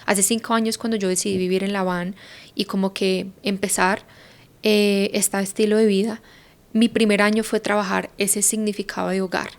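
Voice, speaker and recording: A woman's voice: mid-range voice, flat delivery, normal speed. A Colombian woman in her thirties, a podcast guest talking reflectively and thinking aloud with lots of fillers. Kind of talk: casual conversation.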